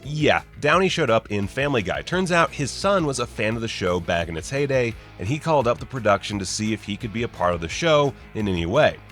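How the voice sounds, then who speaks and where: A man's voice: medium pitch, sing-song, fairly quick, few pauses, a bit bright, faint music behind. A man narrating a scripted list video as host, with wry, sarcastic, joking asides. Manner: wry, sarcastic, jokey mock-casual delivery.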